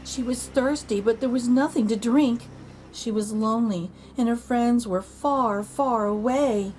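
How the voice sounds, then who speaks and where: A woman reading a children's picture book aloud in an expressive storytelling manner. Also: a little hiss or hum, low-quality recording. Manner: slow, expressive storytelling with lively pitch.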